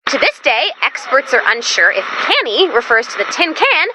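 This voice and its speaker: A perky female robot sidekick from a video game, speaking in a high voice that swings widely in pitch. She is nerdy, earnest and theatrical, every line played big. There is faint noise behind her. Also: moderate pace. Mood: theatrical, narrating. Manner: expressive, quirky, earnest, theatrically enthusiastic. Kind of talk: animated game voice acting, theatrical line delivery.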